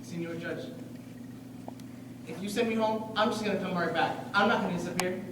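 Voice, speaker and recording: Teenage guy as high-voiced earnest refugee boy, echoey stage. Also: brisk, natural voice. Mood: defiant, hopeful. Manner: earnest, projected, mixes Spanish into English. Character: earnest young refugee boy, pleading and defiant. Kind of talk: high school stage play acting. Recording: very echoey, distant, noisy stage recording.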